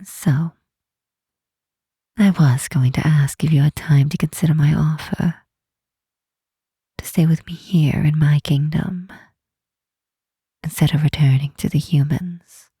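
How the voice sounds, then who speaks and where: A woman voicing a protective, commanding yet tender Demon Queen in an ASMR roleplay, speaking softly and breathily, often whispering, in a soothing, gently insistent way. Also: low-pitched, fairly even intonation, measured pace, long pauses, breathy edge, dry recording, studio quality, full character voice. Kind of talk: ASMR roleplay, intimate comforting monologue.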